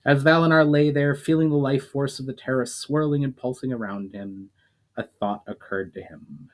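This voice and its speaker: A man narrating a fantasy novel chapter in a steady reading voice. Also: medium pitch, moderate pace. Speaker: storyteller.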